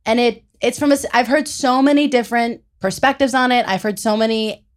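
A young adult woman on a panel, defending her view in a measured, casual debating style. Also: on the high side, steady tone.

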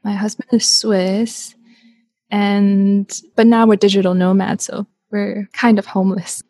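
A woman in her thirties from Canada, a guest telling a story in a casual interview, chatty and animated with lots of "like". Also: medium pitch, a little slow, no room sound.

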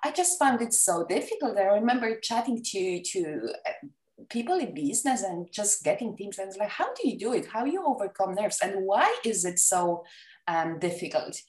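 A woman's voice: medium pitch, big pitch swings, normal speed, a little mellow, far from the mic. A woman from Poland with a Polish accent, a wedding photographer chatting enthusiastically as a podcast guest, with many fillers and restarts. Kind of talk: casual interview chat. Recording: echoey room, off the mic, faint music.

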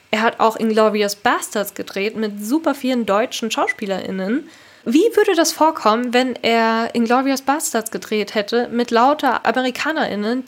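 A woman talking casually but critically about a film, laying out her opinions clearly. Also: on the high side.

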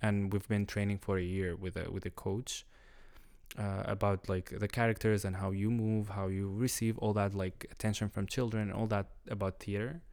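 Low gravelly man. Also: flat delivery, darkish tone. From Syria. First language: Arabic. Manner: halting, searching for words, laughs often. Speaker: guest. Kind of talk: casual storytelling chat.

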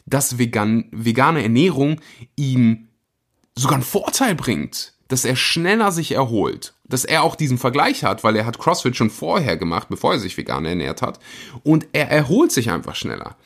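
A man hosting his podcast, introducing the episode in an energetic, casual way. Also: medium pitch. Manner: energetic, enthusiastic, speeds up and slows for emphasis. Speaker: podcast host.

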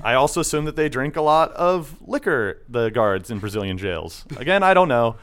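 This young man hosts a podcast in a loose, chatty way, joking around and dropping into silly voices. His pitch rises and falls a lot as he talks, and his level sits in the middle of the usual range. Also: expressive, normal speed, fairly bright tone. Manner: jokey, slips into silly put-on voices. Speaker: podcast host. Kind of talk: casual chat.

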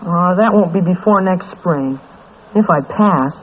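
A performer playing an earnest, stubborn, protective young schoolboy with a grumbling streak in an old American radio drama. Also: female voice, lowish voice, measured pace, a little hiss or hum, close to an everyday voice. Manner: plain-spoken, stubborn, grumbling. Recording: tinny old radio transcription.

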